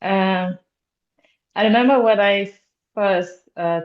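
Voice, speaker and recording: A woman's voice: medium pitch, slow. A woman in her twenties from Romania, not a native English speaker, talking earnestly as a podcast guest with many hesitations. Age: 20s. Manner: earnest, hesitant with many ums, laughs often. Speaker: guest, security officer. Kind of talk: casual interview chat. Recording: dull lo-fi sound.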